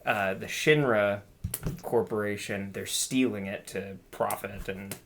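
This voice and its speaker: A man co-hosting a podcast, chatting casually and excitedly, with frequent laughs and lots of "like". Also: fairly low pitch, measured pace, slight background noise. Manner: excited, laughs often, says "like" a lot. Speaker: podcast co-host. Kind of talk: casual chat.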